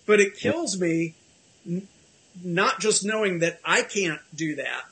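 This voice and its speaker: A man's voice: sits high, expressive, slow pace, bright, forceful tone, low-quality recording. A middle-aged man hosting a casual video call chat from his home office, rambling and self-deprecating with many restarts. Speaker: host.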